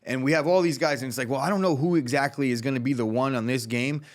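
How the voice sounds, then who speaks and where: Male co-host, mid pitch, opinionated and quick, casual sports talk. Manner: fast opinionated talk, many restarts.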